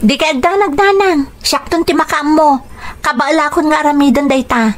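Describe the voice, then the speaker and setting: A woman playing an eager, dutiful schoolgirl daughter in a radio drama, expressive and respectful, with an Ilocano accent. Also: high voice, moderate pace, close to an everyday voice. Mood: eager, reassuring.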